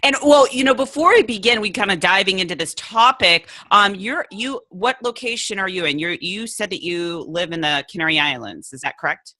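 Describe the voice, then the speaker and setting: Upbeat female podcast host, bright forceful tone, laughs often, eager chatty delivery. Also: medium pitch, lively intonation, brisk, few pauses, no echo. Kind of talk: upbeat interview hosting.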